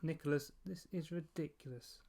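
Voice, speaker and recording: A man's voice: on the high side, moderate pace, creaky, a little mellow, pitched above the performer's own voice. A man doing a stern, exasperated mum. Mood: scolding. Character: worried, scolding mother of two.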